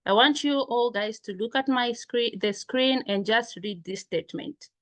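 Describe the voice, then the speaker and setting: A woman's voice: a little high, normal speed, fairly bright tone, dry recording. A woman from Zambia leads an online workshop and keeps asking the participants to think and reply. Her voice sounds close and dry. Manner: engaging, asks the group questions. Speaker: workshop facilitator. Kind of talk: leading a training session. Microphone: video call.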